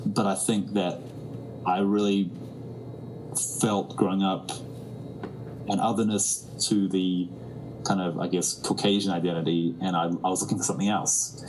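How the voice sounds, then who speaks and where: A man from New Zealand talks things through slowly in a very low voice, often circling back to restart a thought. Faint ambient noise sits under the recording. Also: level tone. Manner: thoughtful, many restarts and fillers. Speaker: musician guest. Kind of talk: reflective interview talk.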